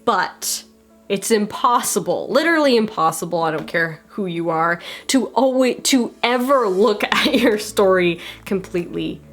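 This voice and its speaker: A young woman giving lively writing advice to the camera from her room at home, laughing often and sounding a little hoarse. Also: mid-range voice, a little slow. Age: young adult. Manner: animated, laughs often, gestures a lot. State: slightly hoarse, losing her voice. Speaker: writing vlogger. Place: home room with bookshelves, indoors. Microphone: camera mic, head-and-shoulders framing.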